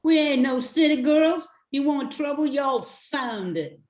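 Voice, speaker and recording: A roomy, slightly high voice. An older woman plays a plucky, affectionate bayou mother in a radio drama, homespun in her talk and tough when she needs to be. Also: animated, measured pace, darkish tone. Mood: defiant. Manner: folksy, homespun dialect. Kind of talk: radio play acting.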